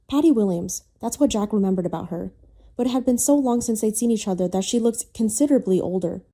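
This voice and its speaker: A woman's voice: mid-range voice, dark tone. A woman reading a scripted, dramatic story as its narrator.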